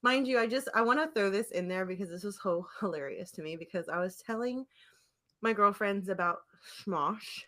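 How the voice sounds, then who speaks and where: A woman's voice: mid-range voice, normal speed, bright, forceful tone. A woman co-hosting a podcast in casual chat, effusive and giggly in her delivery. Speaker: podcast co-host. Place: remote internet call.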